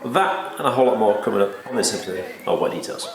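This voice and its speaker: Deep-voiced man with a blocked nose. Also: expressive, fast. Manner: methodical, explanatory, lists steps one by one. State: nasal and congested from a cold. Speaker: car detailer and channel host. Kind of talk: narrated voice-over for a detailing video. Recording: voice-over with loud music underneath.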